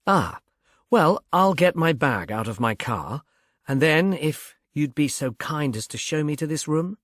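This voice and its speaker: A man voicing a polite, urbane police inspector in a storybook, with a measured, dry manner. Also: on the high side, animated, fairly bright tone, no echo. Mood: polite, businesslike.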